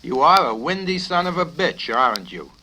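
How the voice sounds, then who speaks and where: A man's voice: a little high, sing-song, moderate pace, voice pushed up, exaggerated voice. Man doing a blustering, irate colonel, noisy vinyl. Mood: furious. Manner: blustering, shouting, badgering with questions. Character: bullying, irate, foul-mouthed male military colonel. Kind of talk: author's reading of a satirical novel. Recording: noisy 1970s vinyl record with clicks.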